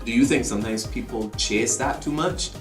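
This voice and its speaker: A woman's voice: a bass voice, soft background music. A woman host, chatting casually and chiming in agreeably. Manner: agreeable, quick to chime in. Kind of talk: casual interview chat.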